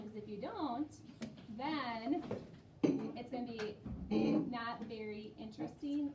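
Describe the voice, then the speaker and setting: Female schoolteacher, high voice, distant and echoey. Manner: instructive, checking in with questions. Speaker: elementary school teacher. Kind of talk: classroom teaching, explaining to young children. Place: indoor classroom. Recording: roomy, distant, noisy classroom.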